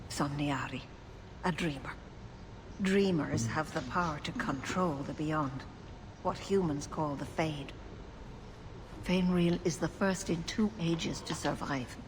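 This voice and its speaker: An actress playing a grave elven mystic elder in a video game, speaking in a measured, solemn, explanatory way. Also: female voice, deep voice, slight background noise, rough recording. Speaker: magic teacher and ritual leader. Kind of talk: video game dialogue acting.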